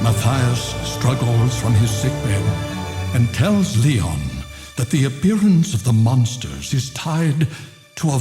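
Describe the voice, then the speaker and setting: A man delivering dramatic, solemn scripted narration for a video game. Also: medium pitch. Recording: echoing voice under loud music.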